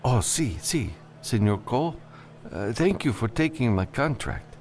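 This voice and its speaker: Man playing a jittery client, pitched well up. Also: big pitch swings, unhurried, a little mellow, slight background noise. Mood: nervous, evasive. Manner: hesitant, stammering, fidgety. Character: nervous, evasive short round male client, Spanish-speaking.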